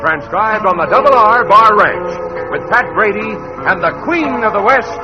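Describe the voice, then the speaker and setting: A man's voice: sits high, lively intonation, moderate pace. A man announcing a 1950s radio show in scripted style. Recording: muffled old radio recording. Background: loud music.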